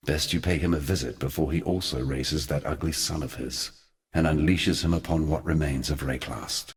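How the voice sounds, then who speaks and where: An actor playing a solemn, scheming ancient god in a video game, speaking in measured, grandiloquent monologue. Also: male voice, very deep voice.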